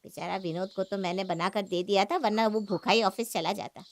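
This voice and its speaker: A woman's voice: medium pitch, brisk, lightly acted. A woman playing a scolding, exasperated mother-in-law in a cartoon family story, nagging and sarcastic. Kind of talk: Hindi cartoon story acting.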